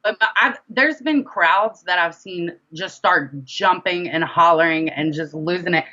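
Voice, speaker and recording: A woman's voice: lowish voice, a little slow, highs cut off. A woman rapper and singer being interviewed, chatting casually and telling stories by acting out bits of conversation. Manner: animated storyteller, quotes conversations in dialogue.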